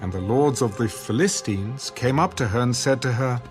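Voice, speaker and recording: A man's voice: medium pitch, moderate pace, music in the background. A man narrating scripture in a solemn, dramatic storytelling style for an audio production.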